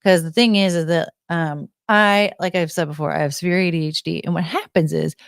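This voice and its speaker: Low-pitched American woman, dry close sound. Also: steady tone, fairly quick, gravelly, clear, resonant tone, no room sound. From United States. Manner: unfiltered, swears casually. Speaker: live stream host. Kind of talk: casual rambling live stream chat.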